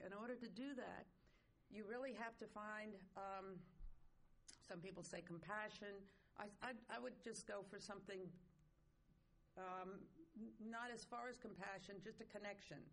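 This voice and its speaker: A middle-aged woman speaking on a conference panel, earnest and measured, with frequent fillers. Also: medium pitch, a little hiss or hum. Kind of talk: panel discussion.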